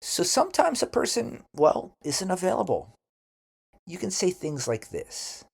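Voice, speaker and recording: A man teaching an English lesson, speaking deliberately and enunciating carefully as he gives model phrases for learners to repeat. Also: high-pitched, huge pitch swings, vocal fry, slightly rough.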